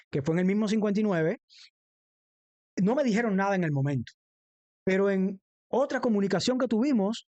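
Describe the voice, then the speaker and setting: A man's voice: high-pitched, darkish tone, dry recording. A man with a Cuban accent speaking as a livestream host in an impassioned political monologue, emphatic and rhetorical, repeating phrases for weight. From Cuba. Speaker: livestream host, former defense lawyer.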